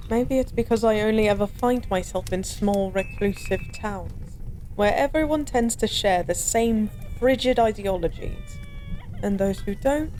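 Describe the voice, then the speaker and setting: Woman playing a mellow, weary, lonely fallen goddess. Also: mid-range voice, a little hiss or hum, natural voice. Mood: resigned, bitter. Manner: wistful, philosophical, formal old-fashioned wording. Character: weary, lonely former goddess, a mysterious drinking stranger. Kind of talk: scripted intimate audio roleplay monologue. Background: bar ambience.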